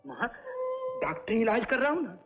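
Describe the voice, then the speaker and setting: Casting: devoted, emotional young man, very animated pitch, old film melodrama with music. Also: normal speed, highs cut off. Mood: tender, affectionate.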